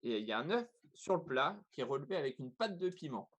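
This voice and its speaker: A man's voice: on the high side, big pitch swings, fast talker, a little hiss or hum. Playful man hosting a quiz. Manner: playful quizmaster, teasing. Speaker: talk show host. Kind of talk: live online talk show quiz. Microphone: video call.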